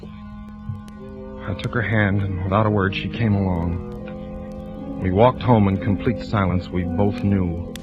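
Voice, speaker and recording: Haunted husband in muffled old radio drama. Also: male voice, low-pitched, expressive. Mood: somber narration. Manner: grave, dramatic, deliberate. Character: anguished husband and father, fire inspector, haunted, narrating. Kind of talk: 1940s radio acting, first-person narration. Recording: muffled old radio broadcast, music behind.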